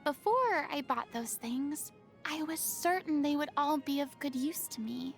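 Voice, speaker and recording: A woman's voice: high voice, measured pace, gravelly, soft background music. A woman voicing a proud, dramatic young stage idol with a secretly wistful side in a video game, speaking in a refined, theatrical way. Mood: airy, reflective. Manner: theatrical, refined, haughty diction with dramatic swings. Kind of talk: video game character voice lines.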